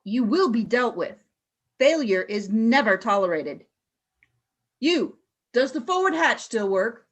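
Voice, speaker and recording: A woman's voice: on the high side, sing-song, a little slow, pauses often. A woman playing a cold, ruthless alien warrior leader in a radio play, giving imperious, clipped commands. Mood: cold, threatening.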